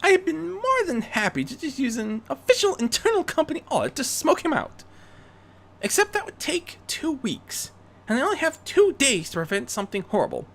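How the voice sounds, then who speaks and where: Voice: high voice, big pitch swings, normal speed, vocal fry, a bit bright, pitched above the performer's own voice, full character voice. A performer voicing a young female unicorn vigilante, refined and determined, speaking in an earnest, theatrical, articulate way for a fan fiction reading.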